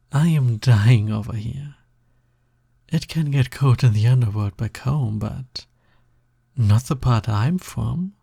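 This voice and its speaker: A man plays a snake demon roommate in an intimate audio roleplay, flirty and pushy. His voice is slow and mellow, with a gravelly creak at the edges. He teases and coaxes in a casual, breathy way. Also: mid-range voice, no echo, studio quality, full character voice. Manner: teasing, coaxing, casual.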